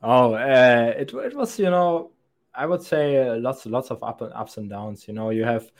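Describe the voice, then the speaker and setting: A man's voice: mid-range voice, lively intonation, normal speed. A man in his twenties, a sales account executive, answering podcast interview questions in a rambling, thoughtful way, often restarting his sentences. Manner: rambling and thoughtful, frequent restarts and soft laughs.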